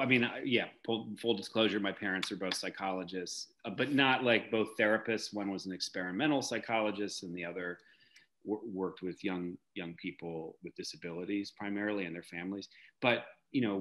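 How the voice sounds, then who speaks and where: Low-pitched middle-aged man, reflective. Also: fairly quick, fairly bright tone. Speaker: interview guest, theater director. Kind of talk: reflective conversational interview answers. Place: indoor room at home, video call.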